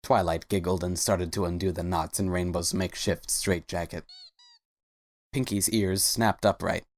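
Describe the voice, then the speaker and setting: A woman's voice: a bass voice, steady tone, normal speed. A woman reading scripted story narration as the narrator of a dramatic reading.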